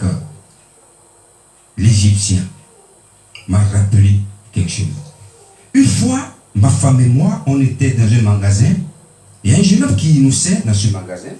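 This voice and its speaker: A man from Benin preaching a sermon, emphatic, with pitch swinging high and low for stress. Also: dark tone. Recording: echoey room, mic at a distance.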